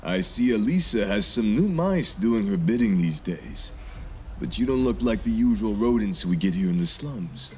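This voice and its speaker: Man playing a smirking gang boss, mock-polite, sardonic, slightly low pitch, lively intonation, dramatic fan dub. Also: moderate pace, a little hiss or hum, close to an everyday voice. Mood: sly, taunting. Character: smirking, taunting male leader of a slum gang.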